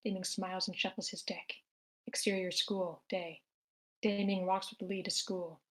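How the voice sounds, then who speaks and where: A woman's voice: medium pitch, level tone, a little slow, a bit bright. A woman reading out scripted stage directions as the narrator at a screenplay table read.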